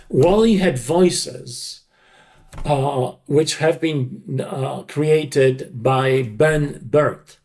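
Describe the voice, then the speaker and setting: A middle-aged or older man speaking reflectively in an interview, in deliberate, halting, emphatic short phrases. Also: medium pitch, a little mellow. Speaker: dubbing creative director.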